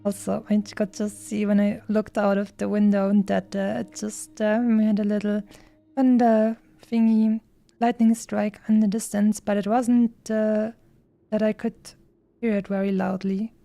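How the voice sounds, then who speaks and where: A woman's voice: mid-range voice, little pitch movement, measured pace, vocal fry, mellow, no room sound. A woman game streamer chatting casually in a rambling way with playful, cutesy wording. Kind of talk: casual livestream chat over gameplay. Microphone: streaming microphone. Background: faint game music.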